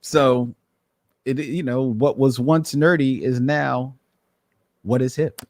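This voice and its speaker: A man's voice: medium pitch, fairly even intonation, slow pace, darkish tone, dry recording, clean studio sound. A man hosting a podcast in casual chat, talking in long meandering sentences and laughing often. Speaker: podcast host. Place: room full of Batman memorabilia.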